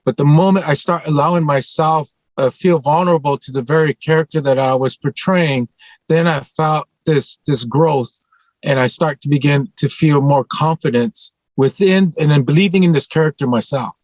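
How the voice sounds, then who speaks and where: A man speaking earnestly on a panel as an actor, thoughtful and halting, often repeating a phrase as he goes. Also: a little high, a little mellow, no room sound.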